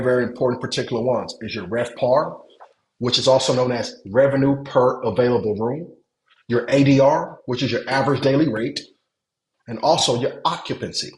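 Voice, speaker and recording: A man from Nigeria coaching a webinar on hotel investing, repeating words for emphasis and checking that listeners follow. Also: medium pitch. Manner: repeats words for emphasis, asks if it makes sense. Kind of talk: webinar teaching. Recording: echoey room.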